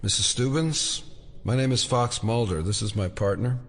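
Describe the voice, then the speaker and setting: An actor playing a wry, laid-back FBI agent in an audio drama, with a dry deadpan manner. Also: male voice, medium pitch, moderate pace, lightly acted. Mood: polite. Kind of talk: naturalistic audio drama acting. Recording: faint background noise.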